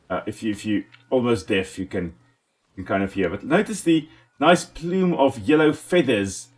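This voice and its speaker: Lively South African man narrating gameplay. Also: fairly low pitch. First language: Afrikaans. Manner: enthusiastic, chatty, talks to the animals on screen. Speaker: let's play host and ecologist. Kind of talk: casual gameplay commentary.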